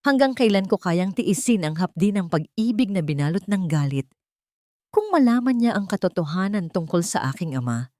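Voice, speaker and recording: A woman voicing a meek, gentle young female caregiver in an audio drama, soft-spoken and quietly suffering. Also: medium pitch, dry recording, studio quality, close to an everyday voice.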